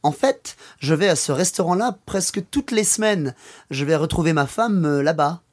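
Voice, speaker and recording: A man's voice: on the high side, expressive, brisk. A man playing a friendly, helpful passer-by in a language-course dialogue, polite and conversational. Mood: chatty. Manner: polite, conversational, natural hesitations.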